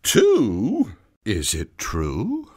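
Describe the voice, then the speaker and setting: A man voicing an earnest, emotional Santa in a storybook tale, speaking in a halting, dramatic way. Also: lowish voice, wildly swooping pitch, a little mellow, husky, full character voice. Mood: bewildered. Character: earnest, emotional Santa, bewildered new father.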